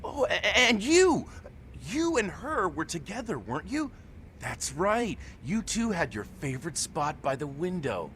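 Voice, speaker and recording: A man's voice: high-pitched, very animated, measured pace, fairly bright tone, a little hiss or hum, heavily acted. An actor playing a mild, hesitant male shop worker who chats politely in a game cutscene dub. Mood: fond recollection.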